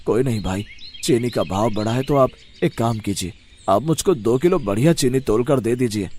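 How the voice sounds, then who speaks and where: A man's voice: medium pitch, moderate pace, darkish tone, slight background noise, natural voice. A man voicing a humble, honest, downcast poor street sweet seller in a moral-story cartoon, pleading and earnest.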